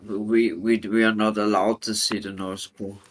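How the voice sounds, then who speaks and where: A tired man with an Austrian German accent, talking haltingly on an online call, repeating words and apologizing. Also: on the low side, flat delivery. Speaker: call participant. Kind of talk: casual online debate.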